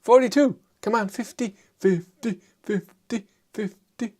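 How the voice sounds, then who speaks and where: A man with a thick German accent hosting a casual live chat, low-key and hesitant, with many fillers as he answers viewers. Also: measured pace, warm tone. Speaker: livestream host.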